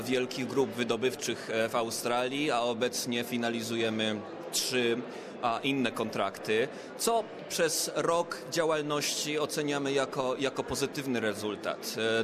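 A man of medium pitch answers interview questions for his company, hesitating often with filled pauses. A crowd murmurs clearly behind him. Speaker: company representative.

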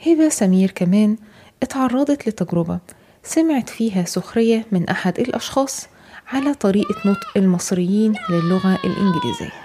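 A woman with an Egyptian Arabic accent, a radio presenter reading scripted feature narration in a clear, steady way. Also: medium pitch, a little fast.

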